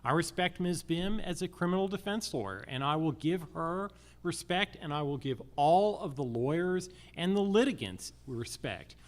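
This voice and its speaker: Deliberate, emphatic American man at a forum. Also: fairly high pitch, lively intonation. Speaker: judicial candidate. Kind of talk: candidate forum speech.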